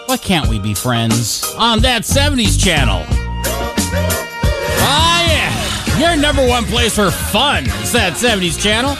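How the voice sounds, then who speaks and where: Radio DJ, highly animated and jokey, punchy theatrical emphasis, music underneath. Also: a little slow. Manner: jokey, playfully self-deprecating, theatrical emphasis. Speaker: radio DJ and host. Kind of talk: upbeat radio DJ patter over music. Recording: music bed behind the voice.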